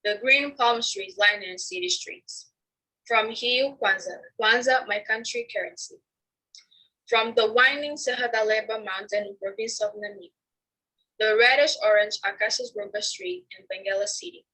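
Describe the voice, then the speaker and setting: A woman's voice: fairly high pitch, normal speed, bright, forceful tone, low-quality recording. A woman from Angola reading her own poem aloud in a careful, earnest recitation. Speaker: student poet. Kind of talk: poetry reading.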